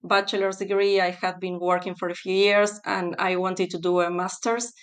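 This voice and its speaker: Woman on a podcast, smooth clear voice, thinks out loud with lots of ums. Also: lowish voice, little pitch movement. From Argentina. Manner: thoughtful, with fillers and pauses. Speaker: guest, textile specialist. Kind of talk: podcast interview.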